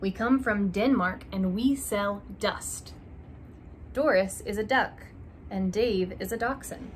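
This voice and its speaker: A young woman reading a children's alphabet picture book aloud, slow and deliberate, stressing each opening letter, in a small room. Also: medium pitch, a little hiss or hum. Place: small room indoors by a curtained window.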